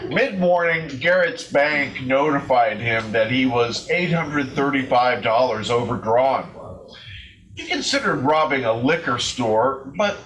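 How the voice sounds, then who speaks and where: A man reading a scripted story aloud as a readers theater performer, deliberately and with comic emphasis. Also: medium pitch, expressive, crowd noise, rough recording.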